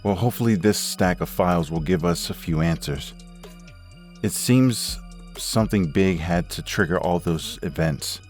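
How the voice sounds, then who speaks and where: A man's voice: low voice, moderate pace, a little mellow, soft background music, close to an everyday voice. A man playing a puzzled, wary husband in a comic dub, thinking aloud in a conversational way. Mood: puzzled, pondering. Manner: conversational, musing. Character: puzzled, wary husband mulling over a conspiracy. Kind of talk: comic book dub acting.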